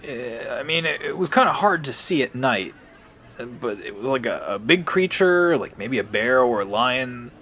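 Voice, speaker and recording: A man playing a shifty, rattled young bully in an audio drama, speaking in a halting, defensive way. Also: mid-range voice, expressive, bright, forceful tone. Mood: uneasy. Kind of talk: audio drama dialogue, conversational.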